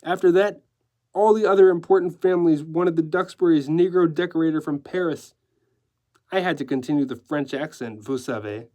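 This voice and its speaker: A man's voice: a little high, moderate pace, mellow, pitched above the performer's own voice, natural voice. A man voicing a sly, gleeful, theatrical male decorator in a story, gushing and affectedly dropping French phrases. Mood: gleeful, boastful.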